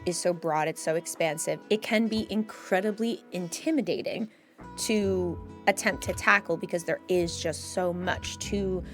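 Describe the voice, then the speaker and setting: A woman hosting a conversational discussion, explaining things in an earnest, emphatic way. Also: mid-range voice. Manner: earnest, emphatic, explanatory, voices imagined quotes. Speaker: podcast host and mental health professional. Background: background music.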